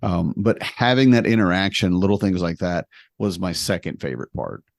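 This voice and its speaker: A man tells a story in relaxed conversation, lively and poking fun at himself. His voice sits on the low side. The sound is dry and close, with no room echo. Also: normal speed, creaky. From United States. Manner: animated, self-deprecating, humorous storyteller.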